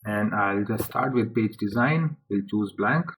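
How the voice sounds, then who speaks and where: A man presenting a software tutorial step by step in an informal way, speaking haltingly in short phrases. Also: lowish voice, rough recording.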